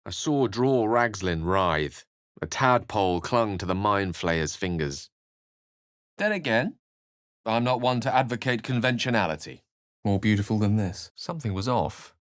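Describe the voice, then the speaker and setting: A low, expressive, learned wizard. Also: male voice, unhurried, no room sound, clean studio sound. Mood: grave narration. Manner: articulate, theatrical, literary phrasing. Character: learned, eloquent, wry human male wizard companion. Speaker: video game voice actor. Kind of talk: fantasy game dialogue and narration.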